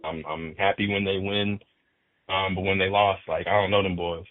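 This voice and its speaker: A man's voice: low-pitched, level tone, normal speed, dry recording. A man from Fort Worth, a guest chatting casually and animatedly on a podcast, cursing freely and repeating words when he gets worked up. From Fort Worth, Texas. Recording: dry, phone-like band.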